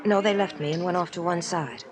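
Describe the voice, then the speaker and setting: A woman's voice: fairly low pitch, fairly quick, soft background music, close to an everyday voice. A woman playing a nervous, stranded young woman in a radio drama, talking in an earnest, pleading, apologetic way. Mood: helpful. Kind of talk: 1950s radio drama acting.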